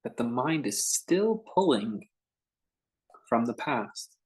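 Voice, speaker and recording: A man guiding a meditation in an informal, conversational way, speaking in short phrases with unhurried pauses between them. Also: mid-range voice, very animated, a little mellow. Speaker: meditation teacher leading a guided meditation. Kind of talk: unscripted guided meditation talk.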